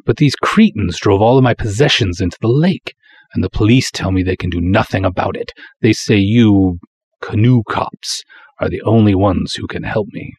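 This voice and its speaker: A man's voice: on the low side, moderate pace, a little mellow, breathy edge. A man voicing a formal, haughty, secretive Egyptian doctor with stilted, courteous, ornate speech in a dramatic story reading. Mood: upset, indignant.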